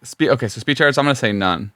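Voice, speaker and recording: A man's voice: medium pitch, rapid-fire, sharp tone, dry recording, clean studio sound. A man co-hosting a casual podcast who thinks aloud, hedging his guesses and joking. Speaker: podcast co-host.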